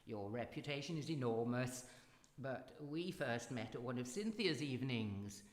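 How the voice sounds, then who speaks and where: A man playing a suave, articulate, theatrical character in a live radio drama, speaking deliberately. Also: medium pitch, animated, natural voice. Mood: teasing flattery. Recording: echoey hall, live stage.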